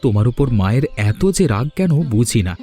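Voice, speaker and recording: A man voicing a reassuring young husband, mellow. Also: mid-range voice, big pitch swings, brisk, faint music behind, close to an everyday voice. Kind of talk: cartoon voice acting.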